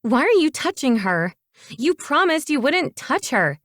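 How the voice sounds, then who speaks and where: An indignant, jealous teenage girl, put on by a woman narrator in a novel reading. Her voice is pitched high, demanding and full of complaint. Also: very animated, a little slow, a bit bright, dry recording, studio quality, exaggerated voice. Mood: distressed, complaining.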